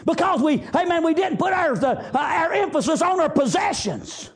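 High-pitched shouting Southern preacher man. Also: lively intonation, moderate pace. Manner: exhortatory, shouting, peppered with praise interjections. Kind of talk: fervent revivalist sermon.

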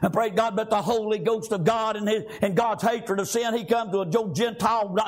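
A man preaching a fiery revival sermon in a church, shouting and dotting his lines with "praise God" and "amen.". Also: high-pitched, fairly even intonation, normal speed, faint music behind.

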